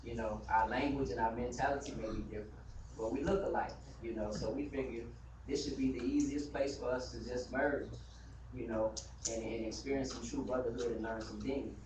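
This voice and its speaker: A man's voice: medium pitch, fairly even intonation, brisk. A man talks earnestly to a mosque gathering, dropping "you know" between thoughts. He sounds far from the mic in a reverberant hall. A live-stream hiss runs under his voice. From United States. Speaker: community member speaking at a mosque gathering. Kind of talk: impassioned open discussion.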